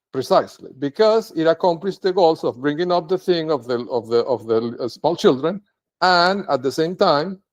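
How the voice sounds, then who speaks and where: A man whose first language is Spanish, speaking English in an unscripted, rambling monologue to camera, with many restarts and sentences ending in "right?". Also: fairly high pitch. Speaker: video blogger.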